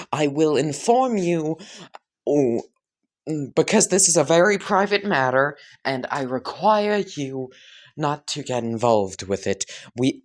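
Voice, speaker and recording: A prim, serious twelve-year-old girl who speaks in stiff, formal phrases with quick urgency, as a man puts her on. Raised a few notes above a plain voice. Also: fairly high pitch, pitched above the performer's own voice.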